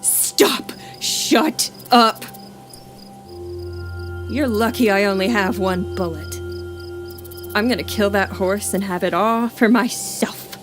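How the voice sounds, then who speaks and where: A woman's voice: medium pitch, slow, pauses often, lightly acted. An actress playing a desperate, hard-edged woman on the run in an apocalyptic audio drama. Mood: bitter, threatening. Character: June, hard, desperate, starving runaway woman in denial. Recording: live-streamed audio play with music bed.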